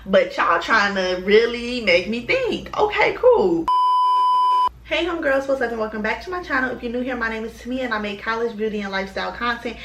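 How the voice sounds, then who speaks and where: A woman's voice: on the high side, animated, fairly quick, roomy sound. A woman, chatting casually to her camera, rambling with lots of ums and slang. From Chicago, US. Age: 20s. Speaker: lifestyle vlogger.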